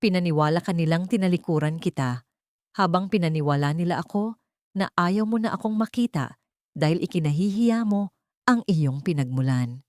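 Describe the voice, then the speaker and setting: A woman voicing a wealthy old father in an audio drama, remorseful yet cold and vengeful, speaking solemnly and deliberately. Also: fairly low pitch, a little mellow, no echo, clean studio sound, close to an everyday voice. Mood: pained, pleading. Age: older. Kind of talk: dramatic audio story dialogue.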